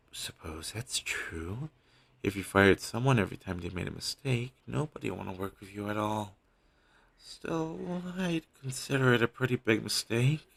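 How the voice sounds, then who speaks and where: A devoted male talent agent, played by a man in an audio roleplay, speaks in a low, gravelly voice. He is earnest and apologetic, reassuring his client through hesitant, husky lines. It is a low-quality home recording. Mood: defensive, apologetic. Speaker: amateur voice actor in a scripted audio roleplay. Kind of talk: scripted audio roleplay, intimate one-sided dialogue.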